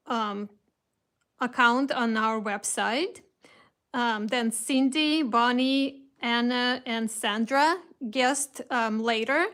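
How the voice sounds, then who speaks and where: A woman's voice: on the high side, a bit bright, clean tone. A woman with a Russian accent hosting a casual live craft kit reveal, chatting with viewers, greeting them by name and restarting her sentences often. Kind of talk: casual live-stream chat.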